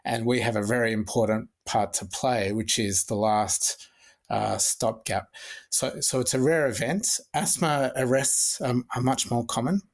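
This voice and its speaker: A man with an Australian accent, in his forties, speaking at an ordinary mid pitch. He walks through the facts steadily as a guest physician, often restarting phrases, and his voice comes in over a remote connection. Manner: measured, methodical, with frequent restarts. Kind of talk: podcast interview, explanatory.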